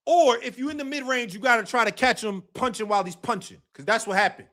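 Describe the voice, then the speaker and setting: A man hosting a live boxing talk stream, talking casually and emphatically, repeating his points, swearing and bursting into shouts. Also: extremely high pitch, quick pace.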